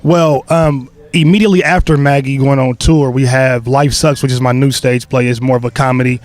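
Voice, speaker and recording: Young male playwright, casual and rambling, filler-heavy, mid-pitched everyday voice. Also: fairly quick. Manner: relaxed, loose, full of fillers and restarts. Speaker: playwright and creator of a stage play. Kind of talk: casual interview answers.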